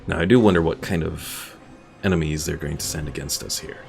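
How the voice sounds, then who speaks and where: A man's voice: very deep voice, brisk, vocal fry, darkish tone. A man giving casual commentary on a game as a streamer, thinking aloud as he plays. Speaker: gaming streamer. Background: faint game music.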